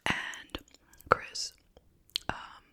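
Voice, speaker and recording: Whispering, breathy ASMR host. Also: bright, forceful tone. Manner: casual, gossipy storytelling with pauses. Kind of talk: whispered ASMR chit chat.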